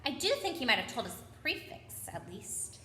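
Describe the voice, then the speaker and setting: A woman's voice: fairly high pitch, very animated, moderate pace, bright, forceful tone, reverberant, a little hiss or hum. A woman voicing an earnest, bossy teenage boy prefect with an officious, self-important manner in a children's storybook. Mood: puzzled. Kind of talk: children's storybook character reading.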